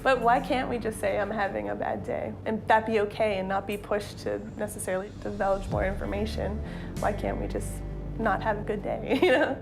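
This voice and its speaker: A woman's voice: mid-range voice, fairly even intonation, moderate pace, gravelly. A woman in her twenties from Nova Scotia speaking candidly and reflectively in a documentary interview. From Nova Scotia, Canada. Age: 20s. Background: background music.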